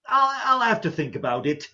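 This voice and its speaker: A man's voice: sits high, bright, forceful tone, muffled recording, exaggerated voice. A man playing a flustered, reluctant doctor who protests hesitantly in a stage farce. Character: flustered, reluctant mild male doctor, easily put upon. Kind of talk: stage farce readers theater acting.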